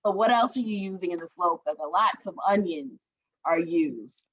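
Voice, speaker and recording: A woman's voice: lowish voice, expressive, normal speed, lo-fi sound. A woman from Barbados, leading an online group workshop, chatty and encouraging as she reads the chat aloud. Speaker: workshop facilitator. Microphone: video call.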